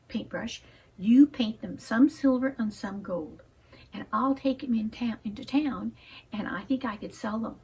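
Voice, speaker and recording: A woman voicing a kindly, patient male painter who mentors a boy, speaking in a gentle, encouraging, half-whispered storytelling manner. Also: extremely high pitch, breathy edge, full character voice, rough recording. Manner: gentle, encouraging, soft-spoken.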